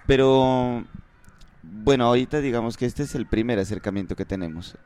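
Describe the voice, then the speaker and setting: A man's voice: medium pitch, moderate pace. Man from Pasto, band musician, resonant voice, casual and meandering. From Pasto, Colombia. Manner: relaxed, rambling with many fillers. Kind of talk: casual interview answers.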